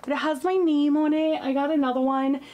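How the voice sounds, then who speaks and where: A woman's voice: high voice, fairly even intonation, a little fast, mellow, highs cut off. A woman in her thirties chatting casually as she tidies her beauty shelves indoors, moving toward and away from the camera mic. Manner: chatty, rambling, thinking aloud while tidying. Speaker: beauty YouTuber. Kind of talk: casual cleaning vlog chat. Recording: noisy room tone with clicks.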